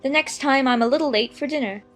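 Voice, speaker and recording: A woman's voice: sits high, fast, soft background music, lightly acted. A woman playing a curious, polite young girl in a dramatized children's audiobook, earnest and innocent in manner.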